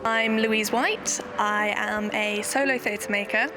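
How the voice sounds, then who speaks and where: A woman's voice: mid-range voice, fairly even intonation, takes it slowly, over music. A woman from Nottingham, a solo theatre maker, talking in a documentary interview in a chatty, self-deprecating way with many restarts. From Nottingham, England.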